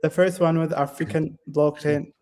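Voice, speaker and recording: A young man from Ethiopia in his twenties, a guest developer, answering interview questions in a classroom with halting phrasing, many fillers and restarts. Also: on the high side, flat delivery, a crowd in the background. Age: 20s.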